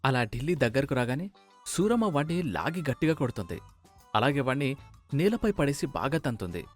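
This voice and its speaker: A man's voice: medium pitch, very animated, brisk, soft background music. A man reading scripted narration of a family moral story.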